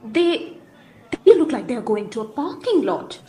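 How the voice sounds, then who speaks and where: A woman acting in an audio drama as an eager, excitable apprentice who reacts haltingly to a covert exercise. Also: on the high side. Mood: eager, nervous.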